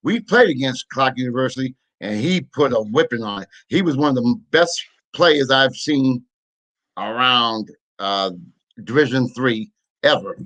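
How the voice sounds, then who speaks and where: A man hosting a sports interview show, effusive and quick to praise his guest. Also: mid-range voice, lively intonation, fairly bright tone, low-quality recording.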